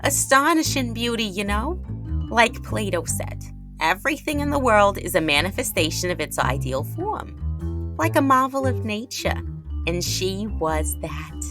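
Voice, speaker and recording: Actress playing philosophizing woman customer, pretentious, gushing, lively swooping intonation, comic radio play, music behind. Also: medium pitch, a little slow. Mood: awestruck. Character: dreamy, philosophizing, awestruck female shop customer. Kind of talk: comic audio play acting.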